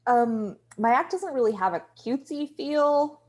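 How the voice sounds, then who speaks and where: A woman's voice: high voice, expressive, a little slow. A woman playing a hesitant, appeasing young wife in a table read, speaking tentatively. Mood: nervous.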